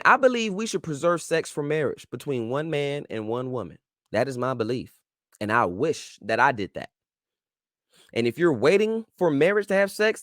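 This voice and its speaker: A man hosts his own advice show and talks straight to the listener in a casual, slang-filled way. His voice sits a little high for a man and swings widely up and down as he presses his points with preachy emphasis. The sound is close and dry. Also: no room sound. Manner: informal slang-filled speech. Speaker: show host.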